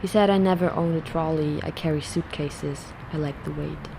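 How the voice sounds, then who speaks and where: A woman reading a reflective, poetic voice-over narration in a calm, measured way. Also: sits low, creaky, background noise.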